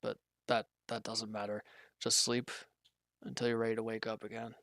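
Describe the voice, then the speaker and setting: A man playing an injured, weary villain in a roleplay audio drama, guarded and quietly considerate, speaking in halting, hesitant lines. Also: completely flat delivery, vocal fry, dry recording, clean studio sound. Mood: weary, reluctant. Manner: hesitant, halting, low-key, grudging. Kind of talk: roleplay audio drama, intimate one-sided dialogue.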